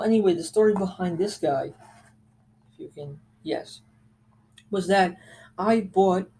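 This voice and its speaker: A mellow, medium-pitched voice hosts a casual show-and-tell of gadgets. The delivery is conversational and wanders into asides. There is some faint noise behind the voice. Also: poor audio. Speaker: host reviewing a radio collection.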